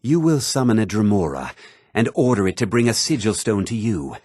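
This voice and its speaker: Casting: learned college mage for a fantasy game. Lowish, animated pitch, measured and formal delivery. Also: male voice, slightly rough, no echo, clean studio sound. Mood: solemn, instructive. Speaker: video game character. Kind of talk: scripted fantasy game dialogue.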